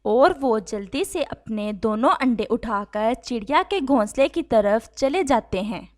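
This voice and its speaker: High female voice, storyteller, scripted children's cartoon narration. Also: lively intonation, a little slow, darkish tone.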